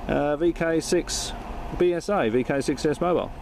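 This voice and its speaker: A man's voice: on the high side, moderate pace, vocal fry. Matey man chatting in a noisy car. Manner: jokey, matey, informal. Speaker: amateur radio operator and video host. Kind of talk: casual radio chat and vlog narration. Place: inside a parked car.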